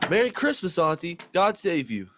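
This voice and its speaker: Young man acting young nephew, cheerful, earnest, pleading, very animated intonation, amateur radio drama. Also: high-pitched, moderate pace, a little hiss or hum.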